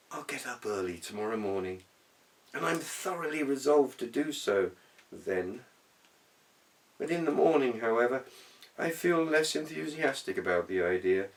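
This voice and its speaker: Low-voiced man reading a story, distant mic. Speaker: audiobook reader. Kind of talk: expressive literary storytelling, reading a humorous essay.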